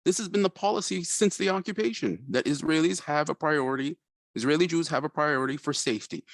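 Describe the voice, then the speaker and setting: A man's voice: on the high side, quick pace, no room sound. A man from East Jerusalem joining a discussion, speaking conversationally with a hesitant start, over a video call. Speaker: discussion participant. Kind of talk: casual discussion.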